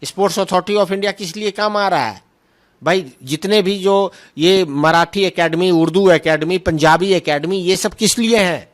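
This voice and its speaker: Higher-pitched man, emphatic political spokesman. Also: moderate pace. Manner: argumentative, emphatic, repetitive phrasing, peppered with English terms. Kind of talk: political press remarks, answering questions.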